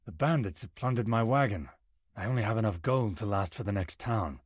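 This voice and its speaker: Man playing a low, husky travelling merchant. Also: animated, fairly quick, no echo, clean studio sound. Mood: musing. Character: weary, practical travelling merchant man, exasperated but wry. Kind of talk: visual novel character acting.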